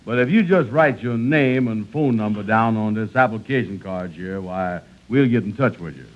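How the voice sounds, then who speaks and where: An actor playing an agreeable, slow-witted business sidekick with folksy grammar in a 1940s radio comedy. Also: male voice, on the low side, lightly acted. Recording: muffled 1940s radio recording.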